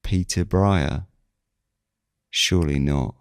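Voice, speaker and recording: A man reading scripted first-person audio drama narration in a measured storytelling manner. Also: deep voice, clear, resonant tone, dry recording, clean studio sound. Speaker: narrator and lead of a fiction podcast.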